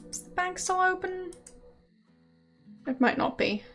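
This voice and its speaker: A woman's voice: very high voice, expressive, a little slow, fairly bright tone, faint music behind. A woman whose first language is German, chatting casually with viewers while she streams, hesitant and full of fillers and small laughs.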